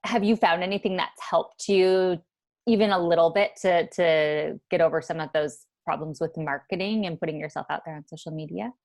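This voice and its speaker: A woman host asks her guest questions in a chatty, encouraging way. Her voice sits somewhat low for a woman. She keeps the casual interview moving along. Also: fairly even intonation, moderate pace, darkish tone. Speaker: podcast host, co-founder.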